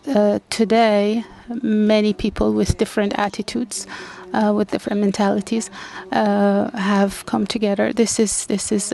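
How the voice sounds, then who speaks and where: A woman in the audience asking a panelist a question in slow, halting sentences. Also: mid-range voice, gravelly, a little mellow. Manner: slow, halting, many fillers.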